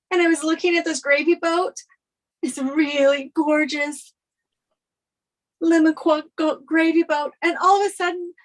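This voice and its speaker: A woman's voice: very high voice, a bit bright, poor audio. A woman playing a flustered, anxious young runaway bride in a sitcom table read.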